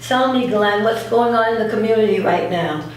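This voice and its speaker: A woman's voice: medium pitch, moderate pace, noisy background. A middle-aged woman hosting a radio talk show in a casual, chatty style. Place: echoey indoor room. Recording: very echoey room, distant mic.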